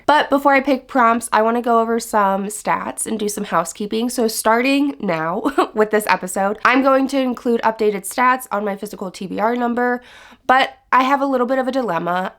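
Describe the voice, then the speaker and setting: A young woman with a stuffed-up, hoarse voice from a cold, chatting enthusiastically to her viewers in a casual book vlog. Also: a little high. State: sick and congested, hoarse. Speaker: book vlogger. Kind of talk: casual vlog chat to camera.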